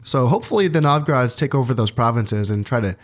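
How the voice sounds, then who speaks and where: A man hosting a strategy game playthrough, talking casually and thinking aloud, congested from allergies and a bit low on energy. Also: fast. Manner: relaxed, thinking aloud with fillers and restarts. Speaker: gaming channel host.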